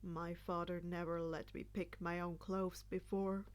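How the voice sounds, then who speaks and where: Lady putting on a quiet flat teen boy, lowered voice, deadpan and kinda oblivious, story reading. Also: a little slow, close to an everyday voice. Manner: deadpan, understated.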